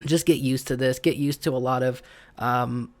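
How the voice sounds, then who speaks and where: A man hosting an unscripted gaming opinion vlog, hoarse and raspy from being sick, rambling and stumbling with lots of ums. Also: medium pitch, steady tone, normal speed. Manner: looks around rather than at the camera. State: hoarse from illness. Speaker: gaming video host.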